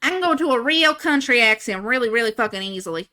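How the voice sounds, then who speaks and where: A woman's voice: fairly high pitch, expressive, quick pace, pushed and bright. A woman putting on an exaggerated, over-accented country voice. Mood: showy. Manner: heavy drawl, overdone.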